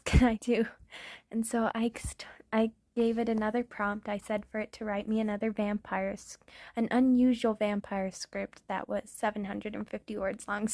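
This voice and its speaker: A young woman, an ASMR creator, chatting casually in a soft, half-whispered, giggly way. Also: fairly high pitch, level tone, moderate pace, dry recording, clean studio sound. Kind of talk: casual chat intro to an ASMR video.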